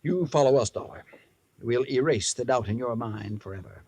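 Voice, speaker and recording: A man's voice: mid-range voice, animated, normal speed, loud music behind the voice, lightly acted. An actor playing a pompous, shady animal-act showman in a 1950s radio drama, with grandiose, florid, emphatic delivery.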